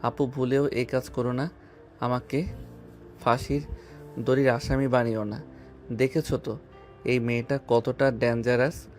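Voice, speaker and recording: A man's voice: mid-range voice, little pitch movement, a little slow, pauses often, soft background music, natural voice. Man acting harassed, pleading younger brother. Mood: aggrieved, pleading. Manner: complaining, pleading. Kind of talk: Bengali audio love-story drama.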